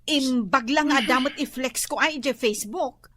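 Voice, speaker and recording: A woman's voice: high-pitched, moderate pace, sharp tone, dry recording. An actress playing a vain, chatty Ilocano woman who giggles and boasts in a radio comedy. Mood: pleading. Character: vain chatty woman, office worker and wife. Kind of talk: radio comedy drama acting.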